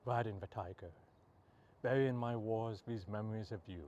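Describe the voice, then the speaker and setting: A man reading poetry aloud as a poet, in measured, deliberate short phrases. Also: lowish voice, darkish tone, highs cut off. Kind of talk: poetry reading, recited free verse.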